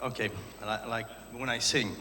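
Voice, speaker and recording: A man from Montreal gives a conference talk as a museum curator. He speaks in halting short phrases with many filler sounds and pauses for an interpreter. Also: medium pitch, steady tone, crowd noise. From Montreal, Canada. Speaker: museum curator giving a lecture. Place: large lecture hall at a conference. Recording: reverberant hall with faint noise.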